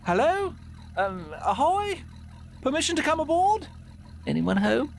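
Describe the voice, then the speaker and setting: An actor playing a polite, hapless young English gentleman adventurer in a cartoon game, prim and apologetic. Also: very high voice, sing-song, measured pace, gravelly, slight background noise, full character voice. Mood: polite, sheepish. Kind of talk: scripted cartoon game acting.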